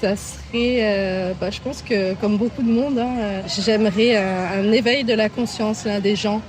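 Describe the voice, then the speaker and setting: A middle-aged woman from France, answering questions in a casual street interview in a park, thoughtful and hesitant, often laughing softly. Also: mid-range voice, fairly even intonation, unhurried. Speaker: interviewee, passer-by. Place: outdoor city park. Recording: outdoor park noise, traffic.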